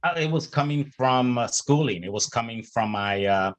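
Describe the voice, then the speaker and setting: Man, middle-aged, from Chicago, earnest, halting, video call panelist, mid-pitched voice. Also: expressive. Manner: earnest, many hesitations and restarts.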